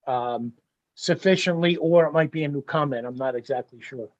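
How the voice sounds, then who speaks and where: A man's voice: on the high side, normal speed. A man presenting his site plans to a village board, explaining in a practical, plain-spoken way. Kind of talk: explaining at a public meeting. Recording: lo-fi meeting audio.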